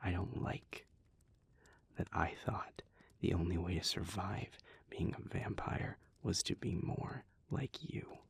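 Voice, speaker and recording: A bitter male vampire with a low, husky voice, acted out by a man for an audio roleplay. He is emotionally raw and defensive, speaking with confessional intensity. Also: lively intonation, takes it slowly, in a whisper, heavily acted. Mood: subdued, regretful. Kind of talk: dramatic audio roleplay acting.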